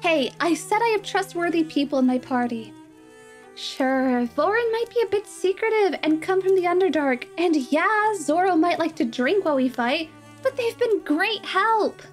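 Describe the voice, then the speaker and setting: A woman playing an earnest, idealistic, excitable young female adventurer in a fantasy roleplay, with a slightly nasal voice. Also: very high-pitched, normal speed, faint music behind, voice pushed up. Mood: reassuring. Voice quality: nasal from a cold. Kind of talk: roleplay audio drama, cute.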